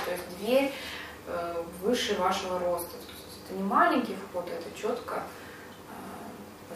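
A woman leading a guided visualization in unscripted speech, halting and rewording as she searches for words, recorded echoey and distant. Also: medium pitch. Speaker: guide of a group visualization session. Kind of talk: unscripted guided meditation with casual discussion. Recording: very echoey, distant mic, clear background noise.

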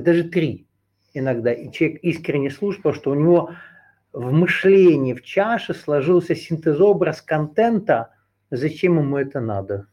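A man leading a group session, pitched a little high for a man, speaking off the cuff in long, winding explanations. He hesitates with fillers and keeps circling back to restate his ideas. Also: a little mellow. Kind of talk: unscripted esoteric lecture.

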